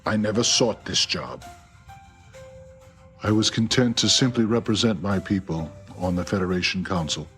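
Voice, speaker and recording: A man voicing a mild, earnest alien president in a parody campaign ad, speaking in measured, formal phrases. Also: low-pitched, a bit bright, pitched below the performer's own voice. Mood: earnest, solemn. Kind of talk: parody political ad testimonial. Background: music bed.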